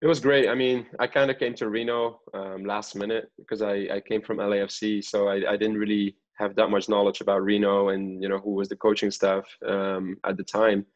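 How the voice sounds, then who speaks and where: A man's voice: on the low side, level tone, a little fast, hardly any pauses, lo-fi sound. A man in his twenties, a pro soccer player, chatting casually as an interview guest. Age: 20s. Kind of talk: casual podcast interview chat.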